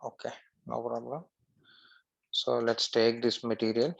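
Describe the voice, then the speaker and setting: A man narrating a screen-share software tutorial step by step as an instructor. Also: medium pitch, little pitch movement, unhurried, creaky, dull audio.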